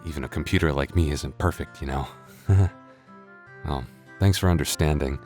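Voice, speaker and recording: A man's voice: a bass voice, normal speed, darkish tone, soft background music. A man playing a sleepy alien computer who talks casually and apologetically to listeners, with hesitant delivery. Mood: sheepish, apologetic. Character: sleepy, sheepish alien computer persona of the channel. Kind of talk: casual in-character address to listeners.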